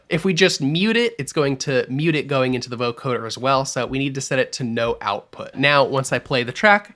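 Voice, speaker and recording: A man walks through music software settings like a tutor, clear and upbeat. His pitch rises and falls a lot as he explains, and he sits at a middling pitch for a man. The voice-over sounds close and studio-made. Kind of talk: screen-recorded software tutorial.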